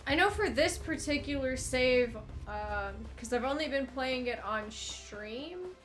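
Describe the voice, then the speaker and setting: A woman's voice: high-pitched, lively intonation, unhurried, a bit bright, soft background music. A young woman streaming a game, congested and stuffy-nosed, chatting casually and laughing and swearing freely. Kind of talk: casual gameplay chat.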